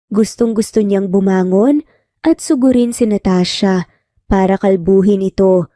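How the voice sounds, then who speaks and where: A woman's voice: medium pitch, mellow, no room sound, clean studio sound. A woman narrating a scripted romance story as a storyteller.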